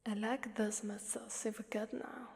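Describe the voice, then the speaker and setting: A woman playing an imperious, teasing and smug demon mistress in an ASMR roleplay, with a commanding, drawn-out delivery. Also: on the high side, level tone, darkish tone. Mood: pleased, appraising. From Italy. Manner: commanding, self-satisfied, drawn-out. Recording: long hall-like reverb.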